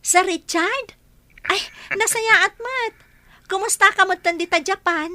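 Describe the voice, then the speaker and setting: A woman's voice: extremely high pitch, measured pace, sharp tone, no echo, heavily acted. A woman acting a young, eager, caring household helper in radio drama, with an Ilocano accent. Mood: excited, bashful.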